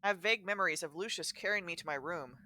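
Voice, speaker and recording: Bright-voiced woman, close dry sound. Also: on the low side, quick pace, no echo. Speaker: co-host of a visual novel reading stream. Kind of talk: casual chat and reading a story aloud.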